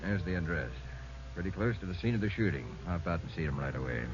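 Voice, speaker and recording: An actor playing a commanding police superior giving urgent orders in a 1950s radio drama, brisk and authoritative. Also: male voice, deep voice, expressive. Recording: muffled old radio, music behind.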